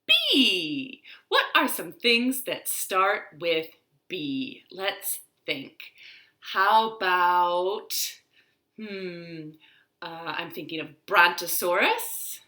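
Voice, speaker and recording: A woman's voice: fairly low pitch, big pitch swings, very slow, sharp tone. A woman hosting storytime for young children, speaking in simple, encouraging phrases as she leads rhymes and reads aloud. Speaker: children's storytime host at a library. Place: living room at home. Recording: roomy, echoing home room sound.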